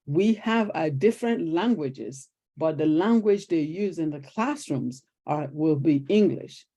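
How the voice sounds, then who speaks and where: Older Nigerian woman, guest storyteller, rough-edged mellow tone. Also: low-pitched, unhurried. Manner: animated storyteller acting out quoted exchanges. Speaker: guest, retired teacher. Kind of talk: conversational interview storytelling.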